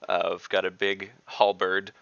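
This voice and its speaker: Guy gamer describing his character, deep-ish creaky voice, dry dull call audio. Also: monotone, a little slow, a bit bright, dry recording. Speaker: tabletop game player. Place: indoors, on video call.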